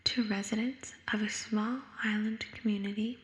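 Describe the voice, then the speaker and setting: A young woman whispering in an ASMR style, reading study questions aloud in a hushed, unhurried manner, with an echo effect on her voice. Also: medium pitch, steady tone. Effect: echo sound effect. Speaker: ASMR creator. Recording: echoing whisper recording.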